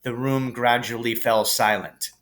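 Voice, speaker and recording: A man reading a children's novel aloud as an expressive storybook narrator. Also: medium pitch, measured pace.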